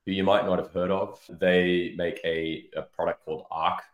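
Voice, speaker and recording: Male interview guest, very low voice, explanatory and opinionated, casual delivery, recorded in an echoey room. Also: flat delivery, normal speed. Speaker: interview guest, head of product marketing. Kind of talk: casual expert interview talk. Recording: roomy, echoing sound.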